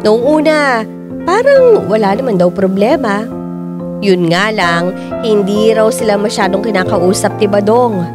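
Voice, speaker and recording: A woman's voice: medium pitch, sing-song, unhurried. A woman playing an exasperated working mother who tells her own story in a radio drama, as a dramatized confession. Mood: recounting, concerned. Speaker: narrator and lead actress of a radio drama. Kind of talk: dramatized first-person confessional narration. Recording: music bed under the voice.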